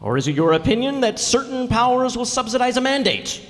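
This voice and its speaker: A man's voice: very high-pitched, very animated, fairly quick, fairly bright tone, exaggerated voice. A man playing a blustery middle-aged husband who puts on pompous airs and stammers, in old-time radio comedy style. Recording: very reverberant hall.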